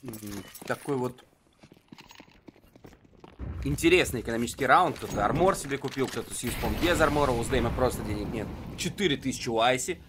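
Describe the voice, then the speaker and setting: A man's voice: medium pitch, expressive, brisk, noisy background. A man giving conversational live esports commentary in a wry, joking manner.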